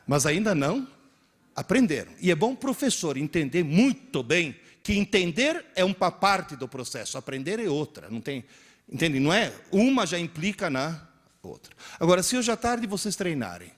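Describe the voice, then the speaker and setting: A man in his sixties giving a lively lecture, telling stories, joking and leading the audience in exercises. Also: fairly high pitch. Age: 60s. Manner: animated storyteller, joking, coaxing the audience.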